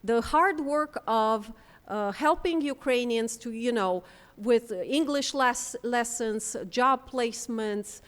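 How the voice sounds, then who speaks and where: Halting woman speaking in a reverberant hall. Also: fairly high pitch. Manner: halting, with many ums and restarts. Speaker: panel speaker for a refugee housing group. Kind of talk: conference talk. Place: conference room.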